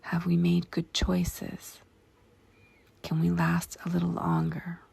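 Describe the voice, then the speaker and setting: A woman leads a meditation in a very breathy, whispered voice full of heavy creak. She speaks slowly, in short deliberate phrases with long pauses between them. Her delivery stays hushed and measured. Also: on the low side. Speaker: meditation guide. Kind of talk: guided meditation narration with spacious pauses.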